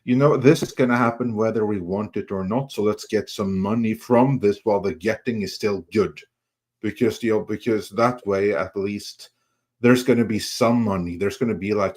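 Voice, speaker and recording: A fairly low-voiced man talks on a panel podcast. His manner is blunt and laid-back, loose and conversational. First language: Norwegian. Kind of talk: casual panel chat.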